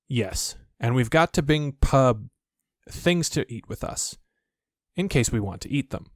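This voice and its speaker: A man's voice: medium pitch, lively intonation, unhurried, no echo, studio quality, close to an everyday voice. A man voicing a naive, good-natured toy bear in a storybook, speaking in an eager, wondering way. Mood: cheerful. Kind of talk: storybook character voice.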